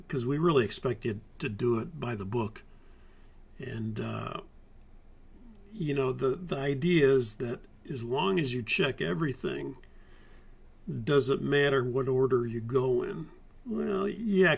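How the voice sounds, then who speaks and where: Hoarse, gravelly male flight instructor. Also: medium pitch. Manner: methodical, conversational, many fillers and restarts. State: hoarse from a lingering virus. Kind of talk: live-streamed training talk.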